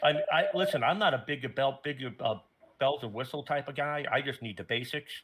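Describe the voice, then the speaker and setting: A man's voice: mid-range voice, fairly quick, fairly bright tone, breathy edge. A man explaining a technical setup in casual conversation, as a plain-spoken station operator. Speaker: remote ham radio station operator.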